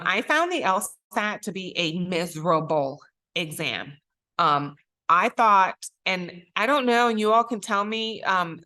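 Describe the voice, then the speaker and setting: A woman's voice: medium pitch, sharp tone. A middle-aged woman from Puerto Rico, a native Spanish speaker and judge, giving an earnest motivational talk to students and stressing key phrases. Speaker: circuit court judge, guest speaker.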